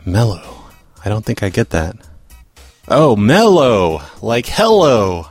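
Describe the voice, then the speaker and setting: A man's voice: on the low side, huge pitch swings, slow pace, slightly rough, no room sound, faint music behind. A man hosting a prank call show, chatting casually in an offhand, sarcastic way. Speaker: podcast host. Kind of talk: casual chat.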